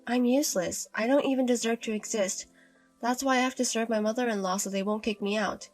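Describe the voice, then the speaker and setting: Woman doing a meek, soft-spoken young wife. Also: fairly high pitch, steady tone, brisk, soft background music, natural voice.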